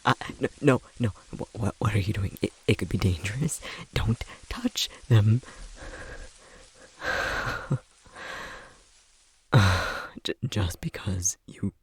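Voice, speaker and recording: A man acting an easily flustered, anxious young boyfriend in an ASMR roleplay, stammering nervously in a breathy, husky voice. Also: lowish voice, darkish tone, no echo, heavily acted. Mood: panicked.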